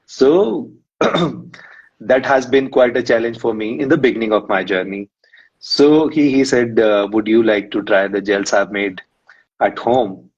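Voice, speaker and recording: A man's voice: fairly low pitch, measured pace, breathy edge. A man, a guest in a live-stream interview, telling his story in a rambling way with many fillers. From India. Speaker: guest, runner and psychologist. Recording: muffled lo-fi stream audio.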